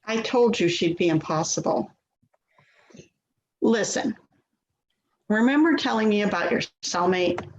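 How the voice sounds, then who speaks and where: In a roomy, slightly muffled recording, an actress plays an anxious grown daughter in a stage drama. She is placating and quick to blame herself, with a highish voice that swings expressively. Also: expressive. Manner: placating, hesitant, self-blaming. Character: Louise, anxious self-doubting grown daughter of a difficult mother. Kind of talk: dramatic play reading, naturalistic dialogue. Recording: echoey, dull, noisy remote recording.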